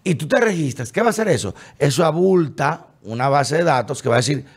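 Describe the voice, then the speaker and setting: A lively man with a voice pitched a touch above most men's, co-hosting a podcast. His pitch swings widely as he talks, and he mocks and acts out hypothetical people. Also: a little fast, slightly rough. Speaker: podcast co-host. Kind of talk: heated casual political chat.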